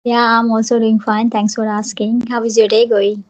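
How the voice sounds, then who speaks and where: High-pitched Indian woman teaching English. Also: flat delivery, normal speed. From Delhi, India. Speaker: English teacher, channel host. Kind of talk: conversational English lesson.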